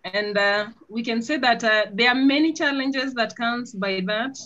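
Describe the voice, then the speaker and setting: A woman's voice: a little high, unhurried, fairly bright tone. A woman with a Kenyan accent introducing her charity work earnestly as a founder on an online panel. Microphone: video call. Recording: slightly muffled internet video call.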